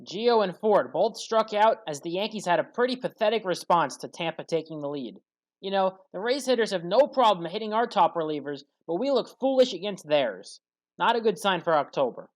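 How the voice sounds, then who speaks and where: A woman commentator reading a scripted play-by-play sports recap in a factual, orderly way. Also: mid-range voice.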